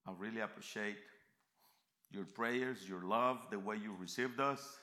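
A man from America, preaching a sermon in a church, emphatic and swinging between quiet reflection and loud fervent peaks. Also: lowish voice, a little slow. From Central America. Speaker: visiting preacher.

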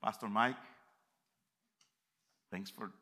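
A man from America, preaching a sermon in a church, emphatic and swinging between quiet reflection and loud fervent peaks. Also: fairly low pitch, fairly even intonation, slow pace. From Central America. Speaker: visiting preacher.

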